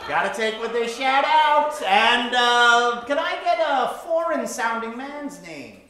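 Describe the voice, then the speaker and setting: A man's voice: extremely high pitch, lively intonation, slow pace. A man hosting a live improv comedy show, playfully working the audience from a theater stage. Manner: playful, repeats audience shouts back. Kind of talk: live comedy crowd work. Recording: very echoey theater, audible crowd.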